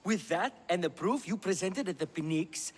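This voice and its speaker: A man's voice: high voice, lively intonation, moderate pace, breathy edge, big-room sound. A man playing a self-assured, self-satisfied ancient Greek playwright in video game dialogue. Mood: pleased confidence.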